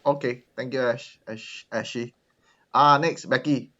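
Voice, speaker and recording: A man's voice: medium pitch, steady tone, unhurried, bright, forceful tone, dull audio. Hesitant man hosting a video call. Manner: hesitant, many fillers. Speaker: meetup host. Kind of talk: casual video call chat.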